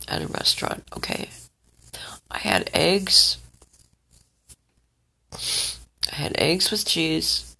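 Congested rambling vlogger with a scratchy, husky voice and lively pitch. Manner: rambling, checks in with the listener again and again. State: stuffed up, congested. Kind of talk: rambling personal vlog.

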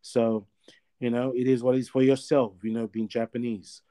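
A man's voice: mid-range voice, level tone, normal speed, dark tone. A man in his thirties from Australia, a guest in a casual interview, talking in a rambling way with many fillers and restarts. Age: 30s.

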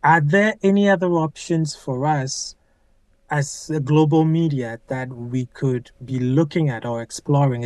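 A man hosting an interview who asks measured questions and gives short affirmations while listening. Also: mid-range voice, darkish tone, dry recording. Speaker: interview host.

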